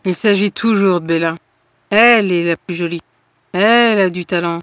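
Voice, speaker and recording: A woman voicing a sullen, jealous young twin sister in an animated fairy tale, with a downcast, grumbling delivery. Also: lowish voice, moderate pace, no echo, soft background music, close to an everyday voice. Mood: dejected.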